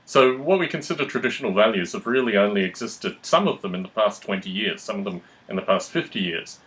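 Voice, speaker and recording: A man talking reflectively in conversation, measured, analytical and articulate. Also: fairly low pitch, expressive, fairly bright tone, low-quality recording. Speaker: podcast host.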